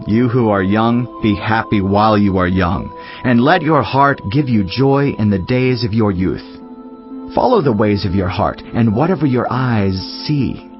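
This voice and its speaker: A man playing a wise, solemn teacher of ancient scripture in a dramatized audiobook, speaking in a measured, deliberate way. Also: on the low side, highs cut off. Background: background music.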